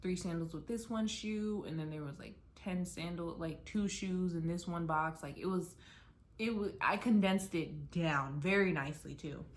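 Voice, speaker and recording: Young American woman, lowish voice, casual vlogger who rambles and restarts a lot. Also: normal speed. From United States. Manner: chatty, rambling, many "like" fillers and restarts. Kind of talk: casual rambling chat to camera. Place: her apartment, mid-packing.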